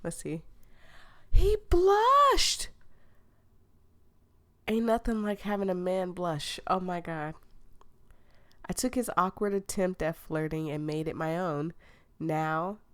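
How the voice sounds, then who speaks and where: A woman's voice: lowish voice, very animated, slow pace, pauses often, a bit bright. Sick woman gaming streamer. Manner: playful, giggly, chatty with viewers. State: sick, losing her voice. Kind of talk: reading a dating sim aloud with reactions.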